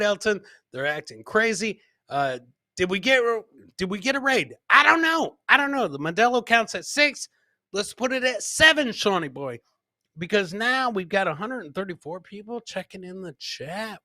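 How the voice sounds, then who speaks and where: A man's voice: high-pitched, bright, forceful tone, no room sound, clean studio sound. A man hosting a live comedy podcast, giving casual, rambling commentary on clips and repeating his catchphrases.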